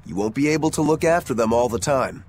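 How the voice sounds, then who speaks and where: A man's voice: mid-range voice, animated, fast talker, a little mellow, slight background noise, close to an everyday voice. A man playing a practical, easygoing, caring crewmate in a video game. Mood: advising. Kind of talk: English game voice acting.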